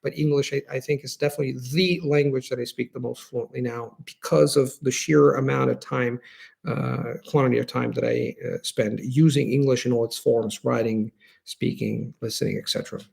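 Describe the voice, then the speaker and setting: A man's voice: medium pitch, steady tone, moderate pace, creaky, a little mellow, breathy edge. A man with an Italian accent, a native Italian speaker, hosting a live stream and answering viewer questions in an earnest, explanatory way. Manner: explanatory, earnest, restarts phrases while thinking.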